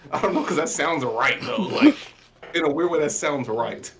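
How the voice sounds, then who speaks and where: A man's voice: on the high side, sing-song, moderate pace, crowd noise. A man playing in a quiz with friends, rambling and joking in loose, casual speech. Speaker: quiz contestant. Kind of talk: casual banter among friends.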